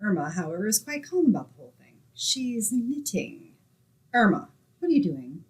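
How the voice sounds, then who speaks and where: A woman playing an exasperated, sensible young roommate who narrates an old-time radio sitcom in a wry, expressive way. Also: mid-range voice, normal speed, slightly rough. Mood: wry, confiding.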